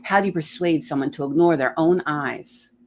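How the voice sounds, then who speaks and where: A woman voicing a troubled, forceful woman leader, exasperated and insistent, in a fantasy story. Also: low-pitched, moderate pace, slight background noise.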